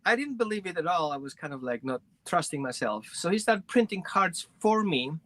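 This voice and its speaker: Bright-voiced man from Greece. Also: high voice, sing-song, brisk. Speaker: guest, dog trainer. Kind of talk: personal storytelling in an interview.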